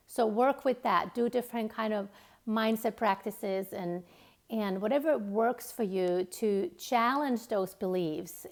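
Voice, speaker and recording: A woman from Finland with a Finnish accent, her native tongue being Finnish, giving a reflective motivational talk with frequent fillers and restarts. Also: mid-range voice.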